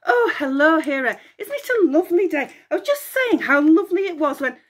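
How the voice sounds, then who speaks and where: A chattering young nymph, as a woman reading aloud puts her on, her words spilling out high and quick. She gushes without pause, bright and eager, in a storybook telling. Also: sing-song. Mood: gushing. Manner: babbling, breathless and eager.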